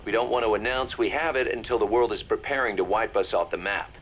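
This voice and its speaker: An actor playing a serious military second-in-command in a video game, briefing in a businesslike, measured manner. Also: male voice, fairly low pitch, a bit bright, slight background noise, close to an everyday voice.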